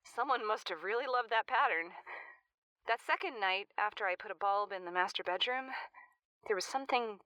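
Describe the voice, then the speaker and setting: Woman playing Danielle, bright, flustered radio caller. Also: mid-range voice, moderate pace, no echo, lightly acted. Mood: uneasy, puzzled. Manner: hesitant, self-correcting, awkward. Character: Danielle, flustered, rambling amateur house-flipper calling a radio show. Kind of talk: naturalistic audio drama acting.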